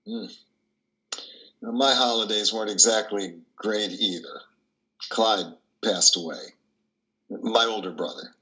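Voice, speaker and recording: Man playing a low, bright-voiced kindly priest. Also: takes it slowly, pauses often, rough recording. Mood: kindly, consoling. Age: older. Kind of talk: online play reading. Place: dim room indoors. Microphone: video call, close to computer.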